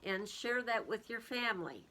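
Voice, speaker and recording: A woman's voice: fairly high pitch, lively intonation, moderate pace, sharp tone, slight background noise, low-quality recording. A woman reading a storybook aloud to young children, expressively, with casual musing commentary.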